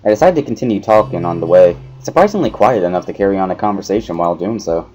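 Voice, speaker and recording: Man reading a story, lively intonation. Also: low-pitched, very fast. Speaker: narrator of a let's play series. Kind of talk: dramatic reading of visual novel, first-person narration. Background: background music.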